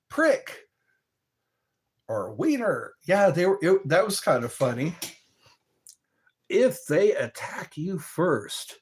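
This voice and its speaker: A middle-aged man hosts a live stream in a loose, meandering chat. He talks slowly, with a pitch that rises and falls freely, and he often laughs at his own jokes. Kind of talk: rambling casual chat to viewers.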